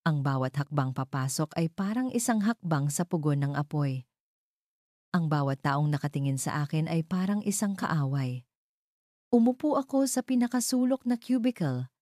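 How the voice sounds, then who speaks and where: Low-pitched, mellow woman narrating a dramatic story. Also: animated, normal speed, no room sound, studio quality. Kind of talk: scripted first-person dramatic narration.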